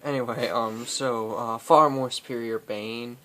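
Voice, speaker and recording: A man telling an improvised comic story in a halting, rambling way, as host among friends. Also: mid-range voice, steady tone, bright, forceful tone. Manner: rambling, halting, often breaking into laughter. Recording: noisy home recording with clicks.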